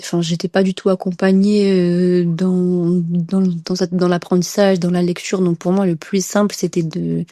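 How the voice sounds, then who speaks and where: A woman's voice: medium pitch, warm tone, no room sound, clean studio sound. A young woman tells her story casually as a podcast guest, hesitantly and repeating words for emphasis. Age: young adult.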